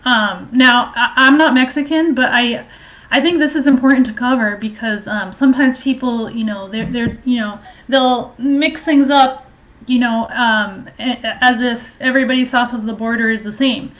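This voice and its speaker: A woman giving informal political and historical commentary in a halting, hesitant way, explaining at length. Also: a little high, fairly bright tone, poor audio.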